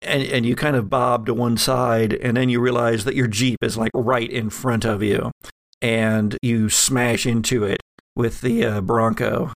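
Creaky-voiced man running a tabletop horror game. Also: medium pitch, flat delivery, measured pace, dry recording. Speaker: game master.

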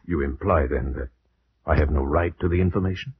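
An actor playing a grave gentleman haunted by dread, in solemn, formal old radio horror style. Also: rumbling low, a little hiss or hum. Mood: probing. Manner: solemn, formal, ominous, measured. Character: grave, dread-haunted gentleman narrator, friend of a doomed family. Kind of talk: 1940s-50s radio gothic horror narration and acting. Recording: dull old radio recording with music.

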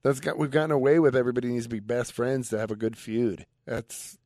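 A man's voice: medium pitch, level tone, fast, a little mellow, no echo, studio quality. A man co-hosting a casual radio talk show, chatting in a blunt, opinionated way. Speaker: radio co-host. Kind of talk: casual conversational wrestling chat. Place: radio studio.

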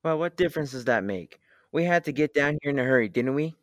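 A man's voice: fairly high pitch, animated, quick pace, a bit bright, dry recording, studio quality. A young man playing a blustering, folksy, good-hearted rocket pilot in a classic radio serial. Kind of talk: classic radio drama acting.

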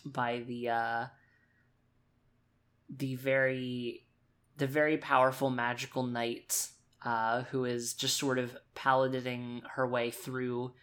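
A man's voice: mid-range voice, level tone, slow pace, fairly bright tone. A man improvising narration for his character in a tabletop roleplaying game, hesitant and loosely worded as he thinks aloud.

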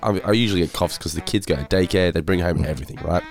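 A man co-hosting a casual podcast, his voice congested and hoarse from a head cold, talking in a weary, self-deprecating way. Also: low voice, very fast, soft background music. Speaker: podcast co-host.

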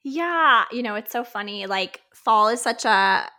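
Bright, upbeat woman podcast host. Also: a little high, lively intonation, measured pace. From Pennsylvania. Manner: upbeat, laughs often. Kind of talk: casual podcast chat.

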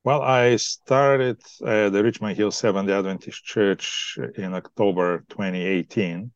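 A man with a Bulgarian accent, a pastor explaining his church thoughtfully in a podcast interview, pausing often to find words. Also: on the low side, steady tone, no echo. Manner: explanatory, thoughtful, frequent hesitations. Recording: dry, dull lo-fi sound.

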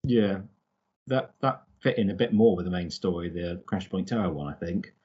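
Lowish guy on a book podcast, halting, repeats himself as he thinks, bit muffled audio. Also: darkish tone, slightly rough. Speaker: podcast co-host. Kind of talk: casual book discussion.

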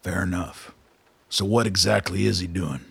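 An actor in a radio drama playing a gruff, suspicious older colonel with a gravelly, husky voice and a blunt manner. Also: male voice, deep voice, steady tone, normal speed, no room sound, exaggerated voice. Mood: skeptical. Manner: blunt, skeptical, swearing. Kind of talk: naturalistic radio drama acting.

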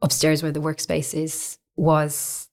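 Low-voiced Irish woman telling her story. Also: completely flat delivery, takes it slowly, a little mellow. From Ireland. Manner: chatty, with many fillers and small restarts. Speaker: guest, co-owner of a coworking space. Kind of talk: relaxed conversational storytelling.

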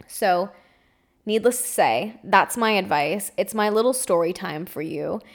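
A woman in her twenties talks through a personal story in a relaxed, candid way. Her voice sits at an ordinary middle pitch, and she keeps things loose with fillers and small laughs as she goes. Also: measured pace. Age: 20s. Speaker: podcast host. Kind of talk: casual storytime monologue.

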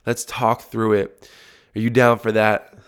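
A young man hosting a solo podcast while congested with a cold, his voice deep and resonant, talking in a relaxed, reflective way with frequent restarts. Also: measured pace, clear, resonant tone. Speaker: podcast host. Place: home recording room.

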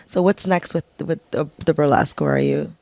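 A woman hosting a casual interview, upbeat and quick to laugh, with short reactions between her questions. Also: deep voice, huge pitch swings, mellow, no echo. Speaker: interview host.

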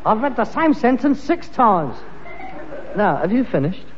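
Pretentious, swooping, high-pitched London son. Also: male voice, big pitch swings, measured pace. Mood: exasperated. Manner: affected grandness slipping into working-class exasperation. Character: frustrated, pretentious rag-and-bone man's son with big ambitions. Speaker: actor in a sitcom. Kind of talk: British sitcom acting. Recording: muffled, hissy, reverberant archive comedy recording.

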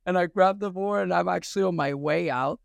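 Gravelly man telling stories. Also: high voice, a little fast, no room sound, studio quality. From Venezuela. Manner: relaxed storytelling with many fillers. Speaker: surf coach, guest. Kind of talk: casual interview talk.

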